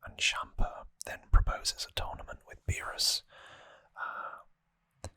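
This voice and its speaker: An ASMR host whispering breathily and close to the microphone, explaining things softly and informally with some hesitation. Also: slow, no room sound. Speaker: host of a trivia ASMR show. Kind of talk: ASMR whispered episode recap.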